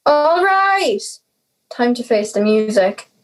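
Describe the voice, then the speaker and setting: A woman's voice: on the high side, sing-song, takes it slowly, soft background music, rough recording. A young woman playing an anxious, reluctant, brooding teenage girl in an audio drama. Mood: reluctant, worried. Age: teenager or young adult. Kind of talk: audio drama monologue and dialogue.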